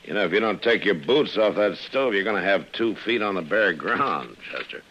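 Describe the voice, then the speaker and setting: A man acting a steady, watchful, kindly frontier marshal in a 1950s radio western, laconic, with an American accent. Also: on the low side, moderate pace, a little hiss or hum. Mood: dry, friendly. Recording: muffled old radio recording.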